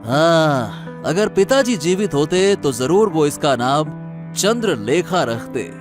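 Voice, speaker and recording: A man's voice: on the high side, very animated, slow pace, over music. A man playing a kind, trusting younger brother who speaks earnestly and respectfully in a cartoon story. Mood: tender. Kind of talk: Hindi cartoon moral story acting.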